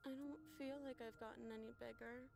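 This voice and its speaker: An actress playing a sharp-tongued, impatient young captive princess in a video game, scornful and challenging. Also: female voice, a little high, steady tone, fairly quick, vocal fry, loud music behind the voice.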